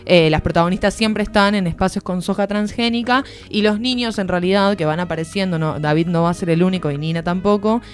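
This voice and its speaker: Low-pitched Argentine woman, music behind. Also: brisk, hardly any pauses. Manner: informal, explanatory. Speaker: literary columnist on a radio show. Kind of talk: conversational radio book review. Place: radio studio.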